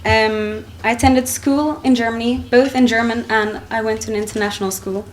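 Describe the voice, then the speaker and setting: A woman's voice: on the high side, fairly even intonation, slight background noise. Young woman giving a conference talk at a lectern. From Finland. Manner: measured, reading with frequent ums and restarts. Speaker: conference speaker. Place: wood-panelled conference hall, indoors. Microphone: lectern microphone.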